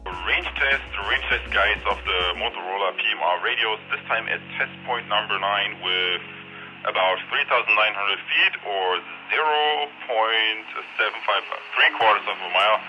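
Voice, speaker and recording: A man's voice: fairly low pitch, moderate pace, bright, forceful tone, soft background music, low-quality recording. A man giving casual test commentary over a two-way radio, repeating his phrases like announcements. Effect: heard over a walkie-talkie radio. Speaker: product reviewer. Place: outdoors, transmitted by two-way radio.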